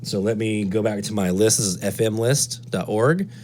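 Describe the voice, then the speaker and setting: Deep-voiced man walking through a tutorial. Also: normal speed, darkish tone, soft background music. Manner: thinks aloud, step by step. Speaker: presenter of a radio DXing tutorial. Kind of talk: casual screen-walkthrough tutorial.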